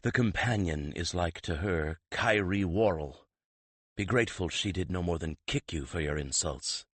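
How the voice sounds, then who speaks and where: A man voicing a stern older male weapons master who rasps harshly with oddly inverted phrasing. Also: sits low, big pitch swings, normal speed, dry recording, heavily acted. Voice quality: rasping, clipped inverted phrasing.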